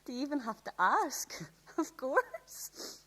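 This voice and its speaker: A woman's voice: high-pitched, very animated, slow pace, sharp tone, heavily acted. An actress playing an open, tender, lovestruck teenage girl in a radio drama, speaking in a natural, hesitant way. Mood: happy, playful.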